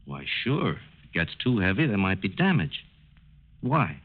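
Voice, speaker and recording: Folksy agreeable working man, puzzled, lowish voice, hesitant delivery, 1940s radio drama, thin tinny recording. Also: very animated, moderate pace. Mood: agreeable, surprised. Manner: folksy, plain-spoken, hesitant. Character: folksy, agreeable, puzzled male plant safety engineer. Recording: tinny narrow-band old radio recording.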